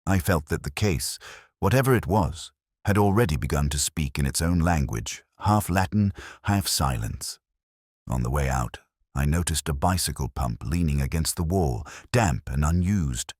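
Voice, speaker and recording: Brooding middle-aged detective, extremely low voice, expressive rise and fall, measured literary radio drama narration, wry, melancholic. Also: male voice, no room sound, clean studio sound. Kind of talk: scripted first-person radio drama narration.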